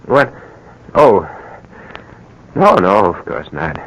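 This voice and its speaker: An actor playing a desperate working-class American locksmith in an old-time radio drama, blunt and urgent. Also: male voice, on the low side, big pitch swings, slow pace, heavily acted, rough recording. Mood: subdued, reassuring. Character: desperate working-class locksmith man begging for money, bitter.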